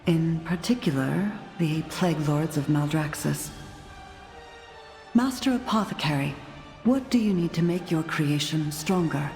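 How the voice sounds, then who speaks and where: Game heroine: earnest undead noblewoman leader, breathy, unhurried, reverberant with music. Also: low-pitched, a little mellow. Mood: polite, resolute. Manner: measured, formal, earnest. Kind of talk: video game cutscene acting. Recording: long reverb, music behind.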